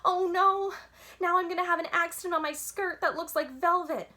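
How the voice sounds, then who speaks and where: A woman reader acts out a little girl from a children's storybook, pitched well up and pushed loud. She is dramatic and stubborn, blurting things out in childish, exclamatory phrases. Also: steady tone, normal speed. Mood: panicked. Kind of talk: children's storybook read-aloud.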